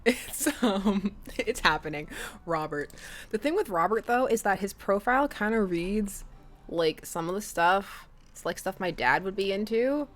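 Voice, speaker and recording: A woman's voice: medium pitch, lively intonation, unhurried. A young woman streaming a dating game, chatting with viewers, laughing often and reading the game text aloud. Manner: giggly, laughs often between lines; chatty, asks viewers questions. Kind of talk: casual let's-play chat, reading game text aloud.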